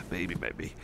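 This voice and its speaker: A man voicing a gentle, caring male cyborg friend in an ASMR roleplay, talking softly and hesitantly with many fillers, sometimes dropping to a whisper. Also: background noise. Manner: soft, hesitant and rambling, with many fillers and restarts; drops to soft whispers at times. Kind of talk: ASMR roleplay, personal attention.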